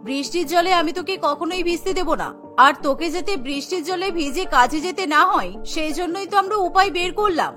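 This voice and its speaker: A woman acts a protective old mother from a cartoon. She is doting yet firm and emphatic, with a very high, bright voice. Faint music plays underneath. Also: a little fast. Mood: scolding. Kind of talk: cartoon voice acting.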